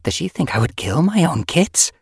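A man's voice: on the high side, big pitch swings, moderate pace, creaky, slightly rough, no echo, clean studio sound, pitched above the performer's own voice, heavily acted. A man voicing a young expectant mother cat in an audiobook story, anxious and defiant, with an earnest, emotional delivery. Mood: indignant. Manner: emotional, protesting.

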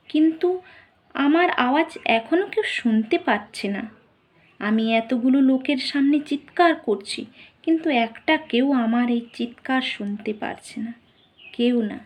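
A young woman voicing the grieving ghost of a murdered young woman doctor, pleading tearfully with her mother in a slow, dramatic monologue that sometimes drops into whispers. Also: sits high. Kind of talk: dramatic first-person monologue.

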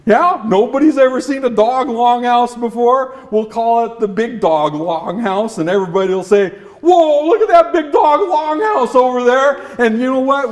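A man voicing a grumbling, scheming dog with halting, folksy speech in an oral folktale. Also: extremely high pitch, a little mellow, pitched above the performer's own voice. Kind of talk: oral storytelling character.

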